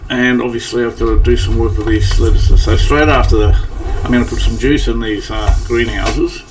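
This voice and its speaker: A man's voice: medium pitch, fairly even intonation, normal speed. Guy a bit far from the mic w faint noise behind, rambling thru a game playthrough, slightly scratchy morning voice. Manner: rambling, thinking aloud. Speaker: gaming channel host. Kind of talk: casual gameplay commentary.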